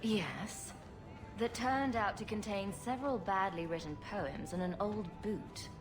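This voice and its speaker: A wry, composed adventurer heroine played by a woman in a video game. Her voice sits at a medium pitch and stays dry, with clear music behind it. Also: measured pace, close to an everyday voice. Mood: sarcastic. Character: wry, loyal human refugee rogue heroine.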